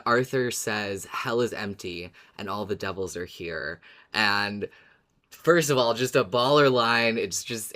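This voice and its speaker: A woman's voice: a bass voice, a bit bright. A woman co-hosting a podcast, eagerly explaining her research in casual discussion. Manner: enthusiastic, explains research at length. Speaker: podcast co-host.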